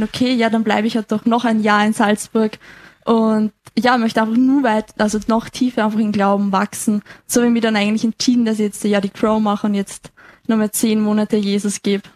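A woman in her twenties from Lower Austria with an Austrian accent, a student guest answering interview questions in an eager, chatty way full of fillers. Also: no echo. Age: 20s. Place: bookshop café.

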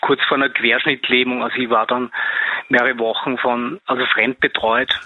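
A man, a caller speaking earnestly and haltingly, often repeating himself. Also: mid-range voice, level tone, no room sound. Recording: phone line.

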